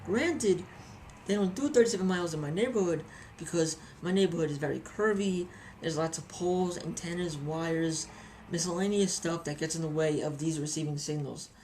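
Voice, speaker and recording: A woman's voice: deep voice, normal speed, dark tone, slight background noise, rough recording. A woman giving a casual, chatty hobby review with anecdotes, often repeating phrases for emphasis.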